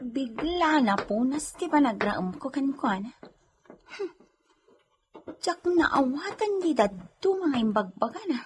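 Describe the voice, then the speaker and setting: A woman's voice: sits high, lively intonation, slow, a little mellow. A woman playing a nervous comic servant in a radio drama, jittery and muttering to herself, with noise behind her. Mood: puzzled, scheming. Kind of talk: radio comedy drama acting. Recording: noticeable background noise.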